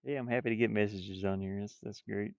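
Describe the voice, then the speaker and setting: A man's voice: on the low side, steady tone, fast talker, gravelly, clean tone, no echo, lo-fi sound. A man chatting casually on a livestream while he works, rambling and half muttering to himself. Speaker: streamer, game developer. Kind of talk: casual livestream chat while drawing game art.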